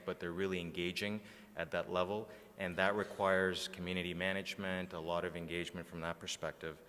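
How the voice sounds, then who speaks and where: A man's voice: sits low, a bit bright, a little hiss or hum. A man who works as an agency media planner, giving a conference presentation. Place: large indoor conference room, on stage. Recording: echoey hall, long reverb.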